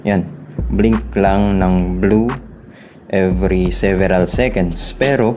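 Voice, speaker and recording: A man with a Filipino accent giving a casual hands-on tutorial as a vlogger, halting as he searches for words and mixes in English terms. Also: low voice, steady tone, background noise.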